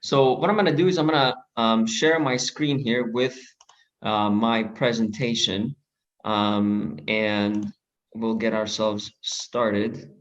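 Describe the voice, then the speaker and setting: A man originally from Greece giving an online presentation, speaking in a measured way with frequent pauses and restarts. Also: lowish voice, fairly even intonation, fairly bright tone, muffled recording. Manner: measured, many fillers and restarts. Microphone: video call.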